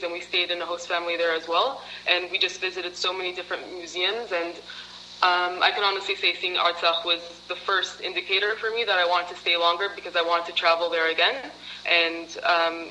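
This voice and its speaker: A woman's voice: fairly low pitch, sharp tone, slight background noise, low-quality recording. A woman as a program participant, answering interview questions in a chatty way, with many fillers and laughs.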